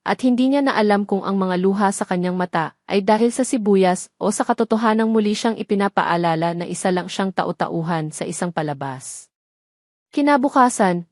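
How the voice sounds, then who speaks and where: A woman's voice: mid-range voice, a little mellow, no echo, studio quality. A woman reading a scripted romantic drama as a story narrator.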